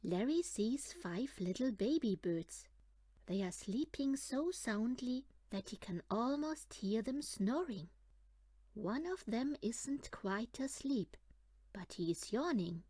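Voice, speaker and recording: Female children's audiobook reader, lively swooping pitch, unhurried delivery, close dry sound. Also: husky, dry recording. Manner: animated, deliberate storytelling for young children. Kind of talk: expressive read-aloud of a children's picture book.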